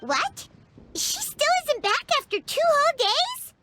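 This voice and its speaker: Squeaky, excitable little fairy companion. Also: female voice, measured pace, heavy background noise, full character voice. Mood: excited, surprised. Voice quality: squeaky, childlike. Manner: exclamatory, chatty, emphatic. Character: tiny excitable childlike floating fairy companion, female. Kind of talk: animated video game voice acting.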